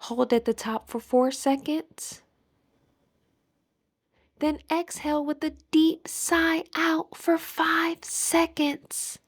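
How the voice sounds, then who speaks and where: Slow, high-voiced woman guiding meditation. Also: little pitch movement. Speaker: guided meditation instructor. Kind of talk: guided meditation narration.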